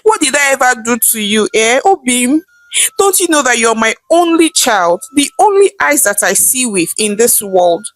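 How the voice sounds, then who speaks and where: Elderly Nigerian mother, voiced by a female storyteller, folktale, scolding and pleading, very lively high pitch. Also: normal speed, no echo. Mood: tearful pleading. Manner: dramatic, scolding questions ending in "eh".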